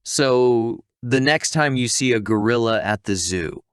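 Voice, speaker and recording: A man's voice: medium pitch, unhurried, clear, resonant tone, no echo, studio quality. A man narrating a scripted comic story recap in a conversational, wisecracking way, with asides to the listener.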